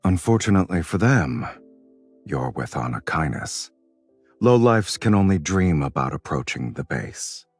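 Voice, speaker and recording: A man voicing a suave, teasing male crime boss in a game, with a very deep, husky, low-key drawl. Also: very animated, unhurried, no echo, faint music behind, full character voice.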